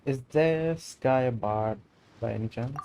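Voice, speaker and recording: A man's voice: medium pitch, measured pace, mellow, clear, resonant tone. A man giving playful, casual gaming commentary as a streamer, mixing Hindi and English.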